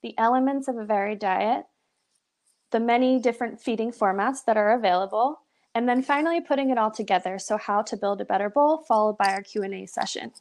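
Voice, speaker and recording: A woman's voice: fairly high pitch, fairly quick, a little mellow. Upbeat young woman giving a webinar. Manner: upbeat, encouraging, with frequent "so" and "awesome". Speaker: brand representative presenting a pet nutrition webinar. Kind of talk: live webinar presentation. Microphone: webcam on a video call.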